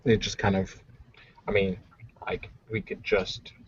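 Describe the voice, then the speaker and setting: A man's voice: on the low side, level tone, unhurried, husky, dull audio. A man chatting casually as a player in a tabletop roleplaying game.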